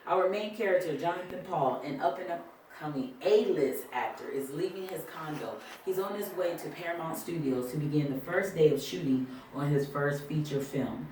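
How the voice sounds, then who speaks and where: Screenplay narration, halting read, distant mic, echoey room, faint background noise. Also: medium pitch, darkish tone. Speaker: table read participant reading stage directions. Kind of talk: reading screenplay directions aloud. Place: indoor room at a table reading.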